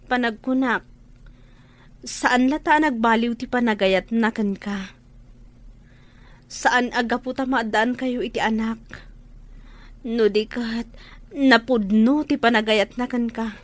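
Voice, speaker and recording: High voice, woman playing younger sister, earnest, pleading, radio drama delivery. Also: takes it slowly, pauses often. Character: younger sister earnestly counseling her hospitalized brother. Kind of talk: Ilocano radio drama acting.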